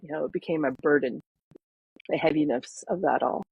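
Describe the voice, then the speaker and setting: Woman in her fifties, grew up in canada, husky gentle lowish voice, thoughtful, lots of ums. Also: a little fast, dull audio. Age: 50s. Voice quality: soft and gentle. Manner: earnest, reflective, many ums. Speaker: guest, parenting coach. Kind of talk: podcast interview, personal story.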